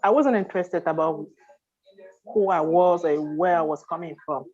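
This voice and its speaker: Woman from Cameroon, lowish voice, halting speech, dull video-call sound. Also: darkish tone. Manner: halting, restarts often. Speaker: guest. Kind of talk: casual chat.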